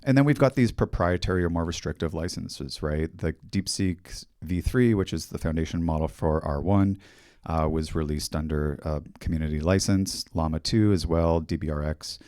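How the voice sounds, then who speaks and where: A middle-aged man with a Canadian accent giving a technical conference talk in a fairly monotone, steady voice, speaking into a handheld mic on a hall stage. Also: low voice, clean studio sound. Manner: monotone, steady explanatory delivery. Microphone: handheld microphone.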